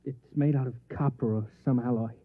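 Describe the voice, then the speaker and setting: A man's voice: mid-range voice, fairly even intonation, a little fast, lightly acted. An American actor in old-time radio drama, playing a nervous, paranoid, furtive man with a hesitant, secretive, earnest delivery. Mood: earnest, conspiratorial. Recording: tinny, band-limited vintage radio recording.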